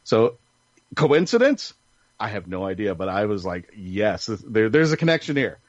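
A man's voice: lowish voice, very animated, moderate pace, dry recording. A man hosting a casual panel podcast, chatty and rambling, with enthusiasm. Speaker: podcast host. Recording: dull lo-fi sound.

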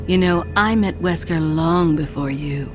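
A cool, teasing female spy who keeps her distance. Her voice sits somewhat low, with a loud score playing behind her. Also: measured pace. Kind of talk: video game cutscene acting. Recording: game cutscene with loud music.

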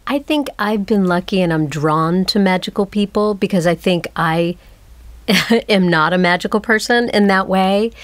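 A woman's voice: medium pitch, moderate pace, no echo. A woman talking reflectively as a playwright in a panel conversation.

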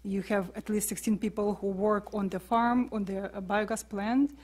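A woman's voice: mid-range voice, steady tone, darkish tone. A woman, a panelist answering questions in a briefing room, with frequent fillers. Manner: halting, many fillers.

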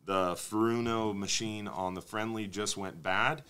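A man in his thirties hosting a live fishing Q&A stream from a studio desk, reading viewer questions and answering them in a relaxed, conversational way. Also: fairly low pitch, measured pace, clean tone. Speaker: fishing show host. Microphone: desk microphone on stand.

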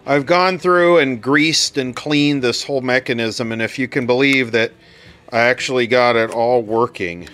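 A man's voice: mid-range voice, moderate pace, a bit bright, smooth. A man talking casually and matter-of-factly through hands-on repair work, rambling a little as he goes. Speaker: radio repair hobbyist, video host.

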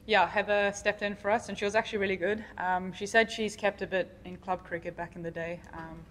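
A woman's voice: mid-range voice, fairly even intonation, a little fast, fairly bright tone. A young woman cricket player giving casual answers at a post-match press conference. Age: young adult. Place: indoor press conference room.

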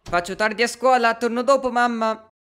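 A man's voice: very high-pitched, moderate pace, a bit bright. A man voicing a polite, anxious, reasonable teenage schoolboy in an Italian fan cartoon dub. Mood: earnest.

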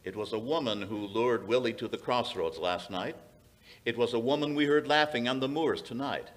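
An older man playing a commanding, inquisitive detective in old-time radio mystery style, speaking in a deliberate, authoritative way. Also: medium pitch, natural voice. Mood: probing, confident. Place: small radio-style studio stage, indoors. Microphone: stand microphone.

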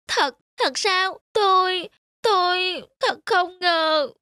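A woman voicing a weary, self-pitying little key in an animated fable, plaintive and aggrieved. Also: very high-pitched, extremely slow, dry recording, clean studio sound, full character voice. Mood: stunned, hurt.

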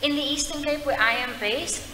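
A woman's voice: high voice, pushed and bright, a little hiss or hum. Young woman from south africa presenting at a podium, stops and corrects herself a lot, big echoey hall. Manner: halting, self-correcting, apologetic asides. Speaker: civil society presenter. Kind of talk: public presentation. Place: large hall, indoors. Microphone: mic on a stand at a podium.

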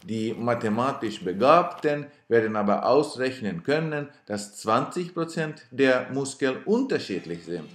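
Guy narrating, dry self-mocking tone, slow pace, roomy reverb. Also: mid-range voice, expressive. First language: Swedish. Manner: dry, self-ironic storytelling. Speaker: cyclist narrating his trip video. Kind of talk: scripted voice-over narration.

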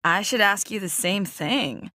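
A woman's voice: medium pitch, animated, unhurried, bright, forceful tone, no echo. A woman voicing a smug woman in her thirties with a complaining, drawled way of talking.

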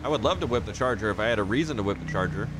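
A man's voice: lowish voice, expressive, rapid-fire. A man live streaming a police roleplay game, chatting casually and informally with the odd swear word. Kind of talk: casual gaming chat. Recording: car and engine noise behind. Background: vehicle game sound.

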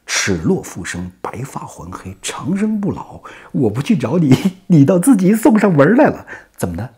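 A man's voice: fairly high pitch, mellow, pitched above the performer's own voice. A man voicing a brash, mocking ox-demon king in a storytelling, with sarcastic, slangy taunts.

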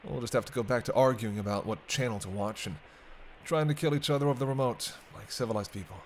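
A man's voice: mid-range voice, fast, lightly acted. A man playing a sarcastic, teasing captive vampire in casual, sweary banter for an intimate roleplay audio drama. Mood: exasperated. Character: teasing, sardonic male vampire held captive by a hunter. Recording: clear background ambience noise.

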